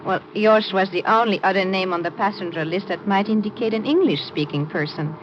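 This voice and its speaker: A woman's voice: medium pitch, brisk, lightly acted. A woman playing a polite, composed young nurse in a 1950s radio drama, formal and careful. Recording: muffled noisy 1950s radio recording.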